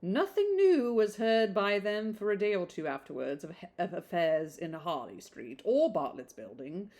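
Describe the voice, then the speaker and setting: A woman with a slightly low-pitched voice reads aloud as a podcast host. Her delivery is informal audiobook style, mixed with chatty, rambling asides addressed to the listener. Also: big pitch swings, moderate pace, darkish tone. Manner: conversational, rambling off on tangents in asides. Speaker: podcast host reading a classic novel aloud.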